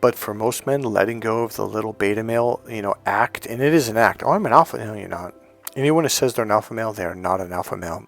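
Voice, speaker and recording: A man's voice: fairly low pitch, fast talker, creaky, soft background music. Blunt, swearing male dating coach. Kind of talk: casual profane rant to camera.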